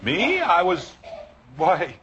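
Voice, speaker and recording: Flustered sitcom dad, wildly swooping voice. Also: male voice, high voice, wildly swooping pitch, very slow, sharp tone, full character voice. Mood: evasive, nervous. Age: middle-aged. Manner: hesitant stammering, exasperated. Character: flustered, evasive sitcom husband and father. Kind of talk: 1950s radio sitcom acting. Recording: noisy, muffled old radio show recording.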